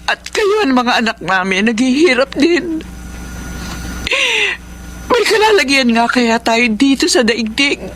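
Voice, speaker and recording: A woman's voice: high voice, very animated, unhurried, a little hiss or hum. A grieving poor mother. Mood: lamenting. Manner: pleading, sorrowful, polite. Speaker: radio drama actress.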